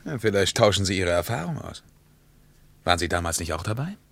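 A man's voice: low voice, very animated, fairly quick, a bit bright, dry recording, heavily acted. An actor playing a surly, sarcastic male tourist who grumbles bitingly and ungallantly in a radio play.

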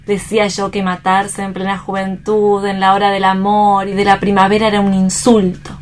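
A woman's voice: medium pitch, monotone, normal speed, a little hiss or hum, natural voice. An actress playing a distraught but resolute young woman in a radio drama, speaking earnestly and solemnly. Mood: sorrowful. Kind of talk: dramatic staged radio reading.

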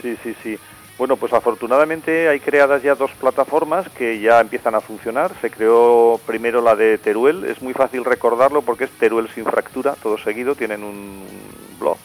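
A man with an accent from Spain speaking as an expert radio guest, explaining in a measured, didactic way. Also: mid-range voice, steady tone, low-quality recording. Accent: Spanish from Spain. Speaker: geology professor, radio guest. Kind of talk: explanatory interview talk.

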